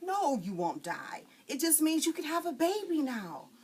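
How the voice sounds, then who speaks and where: Brief: commanding, zesty young girl, high and forceful voiced by a woman. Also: very animated, moderate pace. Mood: bossy. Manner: bossy, commanding. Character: bossy know-it-all young girl.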